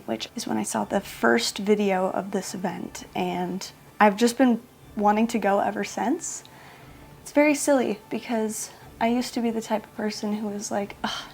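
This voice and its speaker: A woman's voice: mid-range voice, gravelly, darkish tone. A woman vlogger talking casually to camera, chatty and self-mocking, often laughing in the middle of a sentence.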